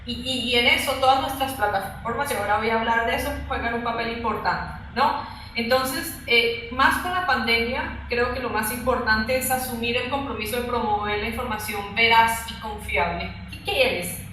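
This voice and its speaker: Female executive interviewee, explanatory, higher-pitched voice, heavily reverberant room sound. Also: normal speed, sharp tone. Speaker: technology company executive, interview guest. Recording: very echoey room, faint background noise.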